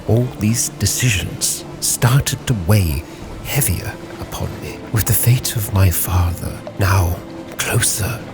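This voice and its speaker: A man playing a grave, driven Victorian sea captain, solemnly narrating his log with theatrical, weighty pauses over music. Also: lowish voice. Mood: brooding, determined. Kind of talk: dramatic scripted storytelling, ship's log in character.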